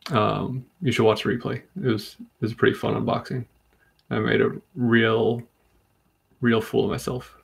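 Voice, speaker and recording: A man from Rhode Island hosting a live comic book stream, chatting calmly and steadily while reading comments. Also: lowish voice, creaky, breathy edge. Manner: calm, steady, unhurried. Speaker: livestream host.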